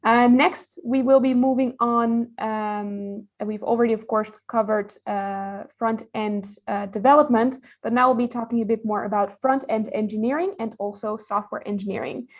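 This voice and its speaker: A woman's voice: a little high, steady tone. Woman hosting with frequent hesitations. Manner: hesitant, many fillers, friendly and measured. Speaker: event host and interviewer. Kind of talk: hosting an online career event interview.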